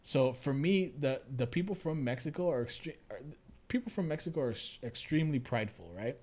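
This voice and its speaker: A man's voice: mid-range voice, animated, fairly quick, darkish tone. An American man co-hosting a podcast, talking casually and explaining his views in an earnest way. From United States. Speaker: podcast co-host. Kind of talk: casual conversational discussion.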